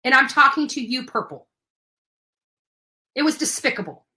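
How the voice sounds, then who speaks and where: A woman's voice: high-pitched, expressive, normal speed, bright, forceful tone. A woman livestream host delivering an impassioned, confrontational rant, emphatic and indignant, with some swearing.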